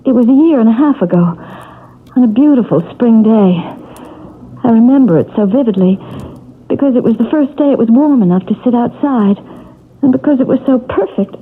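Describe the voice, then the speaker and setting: A haunted young heroine of old radio melodrama. Her high voice swings widely, emotional and stammering. It sounds narrow and muffled, like a vintage broadcast. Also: expressive, a little fast, husky. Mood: puzzled. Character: anguished, haunted young woman, frightened yet defiant.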